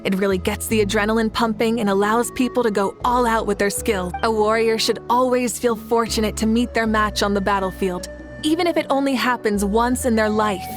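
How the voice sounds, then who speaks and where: A woman's voice: on the high side, a little fast, natural voice. A woman voicing a confident young tournament organizer in a video game, upbeat and self-assured. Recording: game audio with music behind.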